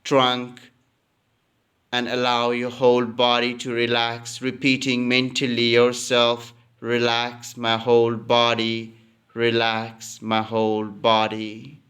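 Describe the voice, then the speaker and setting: Very slow, smooth-voiced man guiding relaxation. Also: medium pitch. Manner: calm, instructional, repeating phrases. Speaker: yoga nidra teacher. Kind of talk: guided relaxation meditation.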